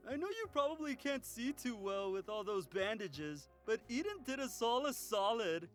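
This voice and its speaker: A man as a very high, animated schoolkid. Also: normal speed. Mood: eager, admiring. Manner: casual, awkward, slangy. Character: eager, awkward schoolboy classmate. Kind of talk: visual novel voice acting. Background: faint music.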